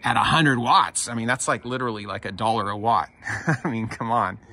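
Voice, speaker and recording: An American man chatting casually as a ham radio operator and video host, halting and informal. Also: mid-range voice, sharp tone. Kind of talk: casual ham radio chat and vlog narration. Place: covered backyard patio, outdoors. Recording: faint outdoor background noise.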